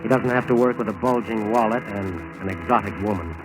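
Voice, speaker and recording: Measured American radio narrator over loud music. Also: male voice, lowish voice, poor audio. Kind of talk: dramatic 1940s radio scripted narration. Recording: old 1940s radio broadcast recording.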